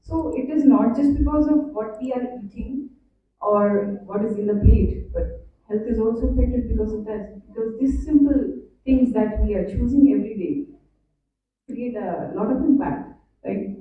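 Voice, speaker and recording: A woman speaks earnestly at a conference. Her fairly high voice comes through thin and phone-like from a distance, with heavy hall echo and faint noise. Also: moderate pace. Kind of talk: conference talk. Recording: echoey hall over a tinny line, distant.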